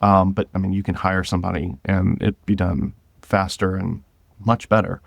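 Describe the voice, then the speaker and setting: Low-voiced man, dry close sound. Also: flat delivery, normal speed, vocal fry, no echo, lo-fi sound. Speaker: guest, independent audio drama creator. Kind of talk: casual interview conversation.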